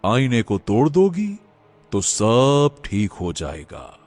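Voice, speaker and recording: Man playing a slow, solemn, mystical holy sage. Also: mid-range voice, wildly swooping pitch, darkish tone, no room sound, faint music behind, full character voice. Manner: slow, grave, chanting Om. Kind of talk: animated folk tale acting.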